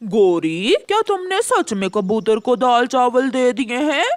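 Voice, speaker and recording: A woman acts a male cartoon crow, the doubtful sidekick of a trickster. The voice is fairly high, lively in pitch and questioning, with a dry, close sound. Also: unhurried, dry recording. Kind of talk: Hindi moral-story cartoon acting.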